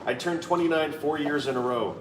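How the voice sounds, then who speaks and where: A man's voice: a little high, brisk, roomy sound, recorded from a distance, background noise. A man in his thirties chatting casually and playfully on a live stream, reading viewer comments aloud. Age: 30s. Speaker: co-host reading live chat.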